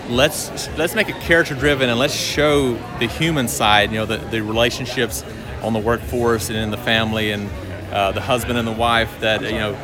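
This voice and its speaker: A man speaking casually and earnestly as a creative team member being interviewed. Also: mid-range voice. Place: busy public venue at a convention. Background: music.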